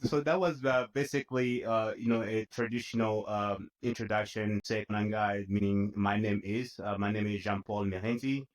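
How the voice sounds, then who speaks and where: A young man speaks as a podcast guest with a French-influenced Congolese accent. His voice is fairly low, and he explains things in a casual, conversational way over a video call. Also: steady tone, normal speed, few pauses, poor audio. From Democratic Republic of Congo. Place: office-like room, indoors.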